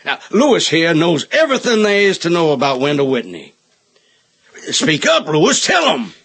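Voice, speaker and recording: A domineering party boss in a radio play. His voice is fairly high and husky, lively in pitch, blunt and argumentative. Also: normal speed, full character voice. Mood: scornful, forceful. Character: brash, domineering party politician backing a candidate. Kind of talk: 1980 radio drama acting.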